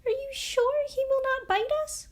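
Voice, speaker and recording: A woman voicing a tiny, proud female mouse queen with a squeaky voice. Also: lively intonation, moderate pace. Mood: timid. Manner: indignant, regal.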